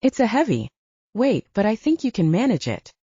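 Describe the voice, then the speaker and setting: A woman's voice: mid-range voice, very animated, moderate pace, warm tone, breathy edge, no echo, lo-fi sound. A woman voicing a lovestruck young male werewolf, brooding and wryly teasing, in an audiobook. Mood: wry, pleading. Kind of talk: audiobook character dialogue.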